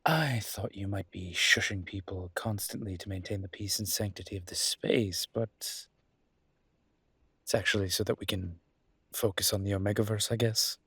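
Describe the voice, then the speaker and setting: Husky, low-voiced charming mafia boss played by a man. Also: no room sound, heavily acted. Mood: amused banter. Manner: playful, polite, hesitant pauses and soft teasing. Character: charming, teasing male mafia boss flirting with a librarian. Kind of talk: roleplay audio drama, intimate one-sided dialogue.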